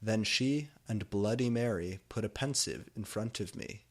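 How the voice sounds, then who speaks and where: A man giving a deliberate, theatrical dramatic reading of fan fiction. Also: lowish voice.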